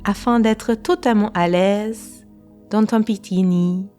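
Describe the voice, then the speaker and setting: A woman guiding a relaxation meditation in a calm, unhurried way, pausing between short phrases. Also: mid-range voice. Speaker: guided meditation teacher. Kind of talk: slow guided relaxation meditation. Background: faint music.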